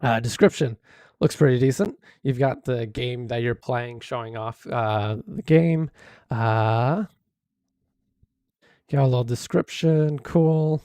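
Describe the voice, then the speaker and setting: A hoarse man live-streaming, casually critiquing videos with many fillers and restarts. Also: medium pitch, takes it slowly, warm tone, no room sound.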